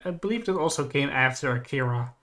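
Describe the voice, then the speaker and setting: A man co-hosting a stream, talking in a casual, analytical way with a hesitant, measured manner. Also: mid-range voice, animated, a crowd in the background. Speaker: stream co-host.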